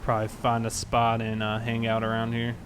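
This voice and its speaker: American male game streamer, relaxed and conversational, chatting casually over faint vehicle noise. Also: mid-range voice, brisk. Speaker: game livestream host. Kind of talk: casual livestream chat while playing.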